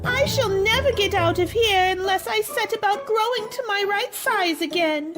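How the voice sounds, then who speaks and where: A woman's voice: very high voice, moderate pace. A woman playing a polite, bewildered little girl in a storybook radio play, earnest and apologetic. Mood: forlorn. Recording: music behind the voice.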